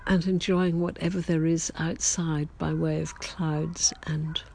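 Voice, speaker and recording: A writer speaks slowly in a relaxed, reflective audio diary, pausing often and correcting themselves as they go. The voice is gravelly with some vocal fry and a touch of hoarseness left over from a cold. The delivery stays gentle and unhurried, as if addressing longtime listeners. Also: mid-range voice, slight background noise. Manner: halting and gentle, with many ums and self-corrections. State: recovering from a lost voice, slightly hoarse. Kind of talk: slow reflective personal chat to listeners.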